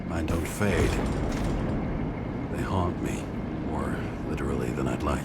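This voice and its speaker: An actor playing a world-weary, sardonic, hard-drinking detective in a noir video game, with a gruff, brooding delivery. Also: male voice, rumbling low, a little slow, heavy background noise. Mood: wistful, haunted. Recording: loud city and vehicle ambience.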